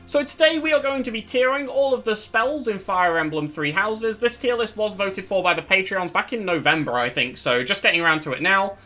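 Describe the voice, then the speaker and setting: Young man, live streamer, cracking voice, losing his voice, rambling casual chat, bright tone. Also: sits high, brisk, hardly any pauses. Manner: rambling, self-correcting, chatty. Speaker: streamer hosting a tier list stream. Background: faint background music.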